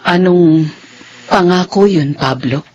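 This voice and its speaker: A woman's voice: on the low side, slow, darkish tone, a little hiss or hum. A woman acting as a poor, devout, hopeful middle-aged widow and mother, earnest and long-suffering, in a Filipino radio drama. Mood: astonished, moved. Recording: dull lo-fi radio drama track.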